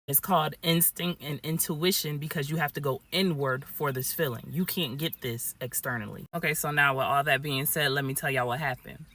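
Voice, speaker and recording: A man's voice: on the high side, bright, forceful tone. A man giving a casual story-time talk, explaining things to his viewers in a chatty, direct way. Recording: distant mic with faint background noise.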